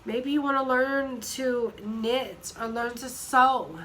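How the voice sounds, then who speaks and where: Slow-talking hoarse woman in her thirties. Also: high voice, steady tone, fairly bright tone, a little hiss or hum. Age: 30s. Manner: rambling, with many fillers and restarts. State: hoarse from a cold. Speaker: vlogger. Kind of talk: casual chat.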